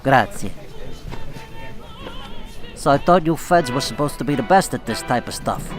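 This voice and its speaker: An actor playing a shrewd, proud Italian-American mafia boss in a radio drama, talking in a smooth, wry, streetwise way. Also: male voice, mid-range voice, expressive, moderate pace, lightly acted. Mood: genial, probing. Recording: music behind the voice.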